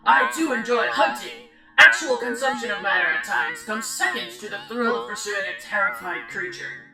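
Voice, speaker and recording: A woman playing a haughty, blunt alien goddess in a visual novel game, speaking in formal, oddly literal phrases with a creaky voice. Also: on the high side, lively intonation, normal speed, distant mic, over loud music, low-quality recording. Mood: probing, haughty. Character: alien eldritch goddess diner, female, imperious and blunt.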